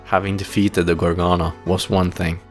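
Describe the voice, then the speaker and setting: A man with a very low voice narrates a fantasy tale. His delivery is solemn and theatrical, each phrase placed for drama, with music underneath. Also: little pitch movement, moderate pace. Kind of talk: dramatic scripted fantasy audio play narration.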